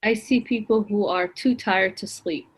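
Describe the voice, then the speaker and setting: A woman reciting a poem in a gentle, earnest way. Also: medium pitch, a little slow, slightly rough.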